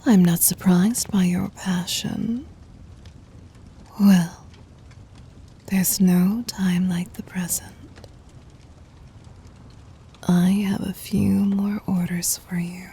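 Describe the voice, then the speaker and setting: A woman performs a regal demon queen for an ASMR roleplay, in a lowish, soft and dark voice that is mostly breath. She speaks with formal poise and gentle dominance. Her commands come out tender, scheming and adoring. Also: fairly even intonation, slow, heavily acted. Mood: teasing, seductive. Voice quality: breathy, whispery.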